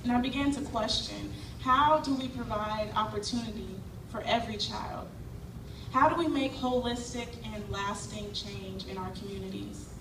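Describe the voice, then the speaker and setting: A woman from Cincinnati giving an earnest, measured prepared speech as a community organizer. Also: a little high. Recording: echoey hall, far mic, noisy.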